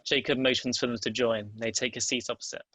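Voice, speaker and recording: A man's voice: medium pitch, level tone, fast, pushed and bright, no echo. A man reading out stage directions as the narrator of a script table read.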